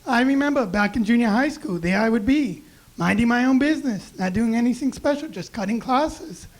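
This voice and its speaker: A young man with a high, raspy voice doing a self-deprecating deadpan stand-up set on a club stage mic. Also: brisk. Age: young adult. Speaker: stand-up comedian. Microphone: handheld stage mic.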